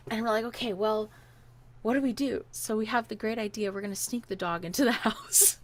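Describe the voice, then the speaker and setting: Chatty high-voiced woman telling a story. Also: normal speed, a little hiss or hum. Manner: animated, chatty, acts out dialogue. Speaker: podcast host telling a personal story. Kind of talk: casual conversational storytelling.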